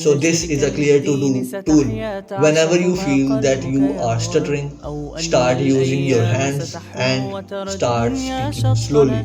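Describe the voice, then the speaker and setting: A young man giving earnest, casual advice, with repeated "bro" and "like" and small restarts. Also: mid-range voice. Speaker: student giving self-help advice. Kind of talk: casual advice talk to camera. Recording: distant mic with clear background music. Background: nasheed vocals.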